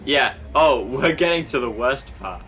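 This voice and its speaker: A man's voice: medium pitch, expressive, unhurried, a bit bright. British guy just chatting over a movie, commenting on stuff as he goes. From Britain. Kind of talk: casual film commentary.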